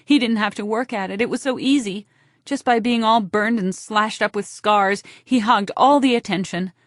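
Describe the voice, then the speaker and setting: A woman's voice: medium pitch, moderate pace, no room sound, clean studio sound, voice pushed up, close to an everyday voice. A woman voicing a bitter, attention-hungry younger sister who complains in a stagy, overwrought way, playing to a crowd.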